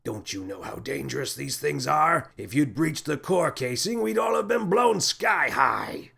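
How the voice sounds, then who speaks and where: A man voicing a lanky, pompous male space captain who whines and shouts melodramatically. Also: a little high, animated, a little slow, gravelly, slightly rough, exaggerated voice. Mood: bossy.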